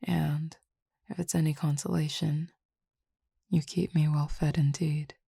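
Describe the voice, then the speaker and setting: A woman playing a calm, teasing Cupid roommate with formal, measured speech in an intimate roleplay audio drama. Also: deep voice, gravelly, slightly rough, no room sound, studio quality, exaggerated voice. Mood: reassuring.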